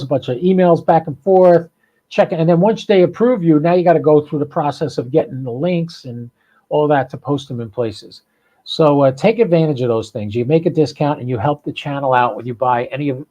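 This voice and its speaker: A man's voice: fairly high pitch, mellow, highs cut off. An American man hosting a casual, unscripted livestream, talking in a folksy, rambling, informal way. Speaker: podcast and livestream host.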